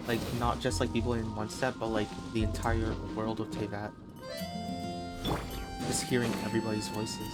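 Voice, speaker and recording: A man's voice: lowish voice, completely flat delivery, moderate pace, pauses often. A man giving casual, offhand gameplay commentary as a streamer. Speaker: game streamer. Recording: loud game music behind the voice.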